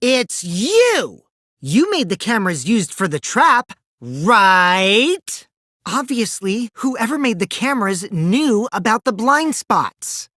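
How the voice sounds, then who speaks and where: A very dry, close recording of a boyish, high-voiced teenage trickster from a video game. The delivery is theatrical and taunting, swinging widely between sing-song teasing and cold deceit. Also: male voice, big pitch swings, slow, pushed and bright, no echo, full character voice. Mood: mocking, playful. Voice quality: boyish, light. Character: mischievous, taunting teenage boy schemer, gleefully deceitful. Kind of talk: animated video game dub acting.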